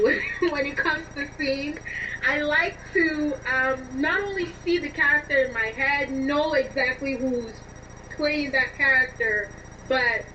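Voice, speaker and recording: A woman answering interview questions conversationally, in an expressive, emphatic way. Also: sits high. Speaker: interview guest, scriptwriter and film blogger. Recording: dull, distant, noisy line.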